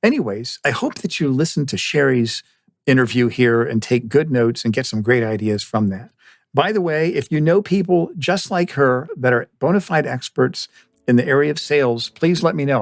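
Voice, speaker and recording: A man with a medium-pitched voice hosts a podcast interview. He talks in an effusive, enthusiastic way, and the sound is dry with almost no room reflection. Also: animated, normal speed, gravelly, no room sound. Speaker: podcast host.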